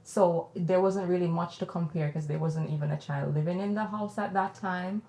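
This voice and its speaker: Low-voiced Jamaican woman in a roomy space. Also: steady tone, moderate pace, dark tone. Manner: candid, reflective storytelling. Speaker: podcast host. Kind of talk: personal podcast conversation.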